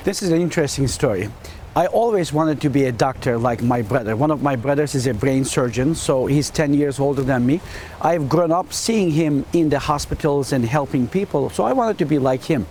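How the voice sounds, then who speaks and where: A man from Turkey with a Turkish accent, a hospitality dean answering quick interview questions earnestly and often repeating words as he searches for phrasing. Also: medium pitch, lively intonation, a little mellow, a little hiss or hum.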